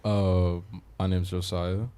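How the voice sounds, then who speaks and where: A man's voice: sits low, little pitch movement, takes it slowly, dark tone, clear, resonant tone. A nineteen-year-old man speaking tersely and casually as a talk show guest. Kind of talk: casual chat.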